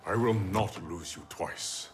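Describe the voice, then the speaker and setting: A man's voice: deep voice, slow pace, very noisy. A man playing a proud warrior emperor in a video game, speaking in a formal, stately, commanding way. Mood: grave, resolute. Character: proud, regal male warrior emperor of an otherworld realm. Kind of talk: dramatic video game cutscene acting. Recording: music behind the voice.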